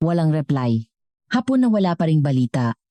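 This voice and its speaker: A woman reading scripted dramatic story narration in a measured way, as the narrator of an audio drama. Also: deep voice, very animated, dark tone, dry recording.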